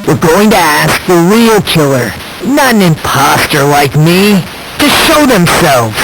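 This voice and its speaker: An unsettling, hope-obsessed teenage boy from a video game. His speech is courteous and needling, sliding up and down in pitch with theatrical flair. The clip has clear background noise. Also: fairly bright tone, heavily acted, rough recording. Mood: polite, needling. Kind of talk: English video game voice acting.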